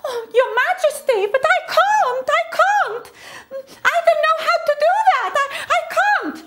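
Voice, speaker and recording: A woman voicing a frightened young miller's daughter, high-pitched and tearful. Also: steady tone, moderate pace. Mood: terrified.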